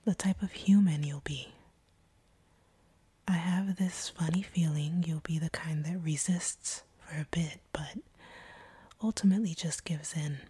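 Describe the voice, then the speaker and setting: A woman playing a seductive, teasing, slightly sadistic vampire captor in an intimate audio roleplay, speaking in a breathy whisper close to the microphone. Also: low-pitched, steady tone, mellow, dry recording, full character voice. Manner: slow, teasing, predatory purr. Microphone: close microphone, dry.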